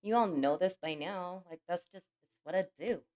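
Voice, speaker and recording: A woman hosting a livestream with a scratchy, hoarse voice from a cold, chatting casually and greeting each viewer by name. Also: on the low side, normal speed, darkish tone. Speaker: livestream host.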